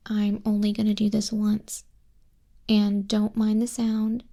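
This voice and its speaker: A woman playing a stern but softening griffin flying instructor in a soft-spoken ASMR roleplay, haughty in manner, close on a binaural mic. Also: medium pitch, completely flat delivery, takes it slowly. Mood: brisk, instructive. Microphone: close binaural microphone.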